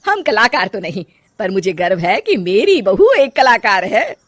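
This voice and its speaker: A woman's voice: medium pitch, very animated, measured pace, dry recording, studio quality. A woman voicing an older mother-in-law in a cartoon story, homely and emphatic, bossy but affectionate. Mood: pleased. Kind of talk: Hindi cartoon moral story acting.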